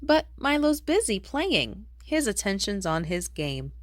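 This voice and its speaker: A woman narrates a rhyming picture book for young children. Her delivery is unhurried and expressive, with pitch that swings widely, and the recording is dry with very little room sound. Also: no room sound. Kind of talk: read-aloud storybook narration in rhyme.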